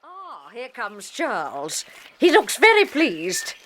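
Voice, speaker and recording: A kindly old grandmother in a children's radio play. She speaks slowly and tenderly, fairly high, with an anxious concern and lively rise and fall. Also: exaggerated voice. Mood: kindly curious. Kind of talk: children's audio drama acting.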